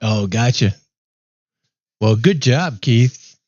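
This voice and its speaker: A man hosting a race broadcast in a casual chat, easygoing and upbeat, his voice going hoarse. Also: mid-range voice, lively intonation, slow pace, darkish tone, no room sound. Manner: easygoing, upbeat, rambling with fillers. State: losing his voice, clearing throat. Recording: dry, dull lo-fi mic.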